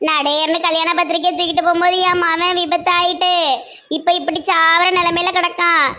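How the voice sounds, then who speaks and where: A performer voicing a bitter old village mother who scolds and pleads in rough rural speech. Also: very high-pitched, level tone, fairly quick, pushed and bright. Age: older.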